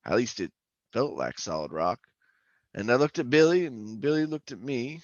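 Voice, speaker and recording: A man's voice: mid-range voice, sing-song, normal speed, dry recording, dull audio, natural voice. An actor playing a folksy, talkative oil-rig worker who tells his eerie tale in colloquial radio horror drama style. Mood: tense narrating. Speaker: actor and narrator. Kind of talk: old-time radio horror drama acting and first-person narration.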